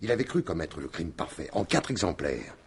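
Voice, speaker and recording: An actor playing a shrewd, sardonic police commissioner in 1950s radio drama style, theatrical and deliberate. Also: male voice, sits low, sing-song, a little hiss or hum. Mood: sardonic, solemn.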